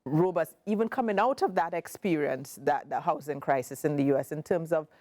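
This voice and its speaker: A woman in her forties with a Caribbean accent, speaking as a guest CEO in a casual interview, measured and emphatic, repeating points for stress. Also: deep voice, darkish tone, no echo, studio quality. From Grenada.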